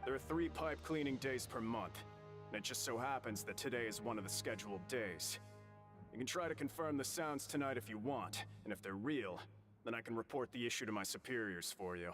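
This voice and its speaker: Man playing a matter-of-fact, mid-pitched prison guard. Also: brisk, over music, lightly acted. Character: matter-of-fact prison guard, mildly stern, male adult. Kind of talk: video game dialogue.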